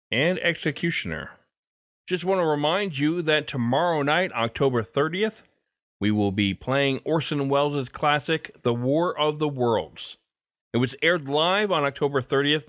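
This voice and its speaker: A man's voice: mid-range voice, fairly bright tone, no echo, clean studio sound. A man hosting a podcast, reading scripted commentary and announcements. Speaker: podcast host. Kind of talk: scripted podcast narration and sign-off. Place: dry close recording, faint music behind.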